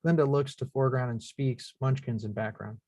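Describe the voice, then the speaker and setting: A man reads stage directions from a screenplay in a plain, factual way, with the odd small stumble. His pitch sits in the middle and the sound is dry and close. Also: steady tone, a little fast, a little mellow, no room sound. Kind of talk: scripted narration of screenplay action at a live table read.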